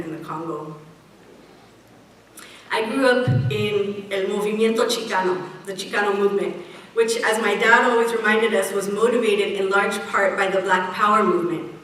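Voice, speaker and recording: A middle-aged woman giving a prepared speech at a community event, measured and earnest, slowing down to stress key phrases. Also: mid-range voice, a bit bright. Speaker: event speaker. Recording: echoey hall, picked up from a distance, faint hiss.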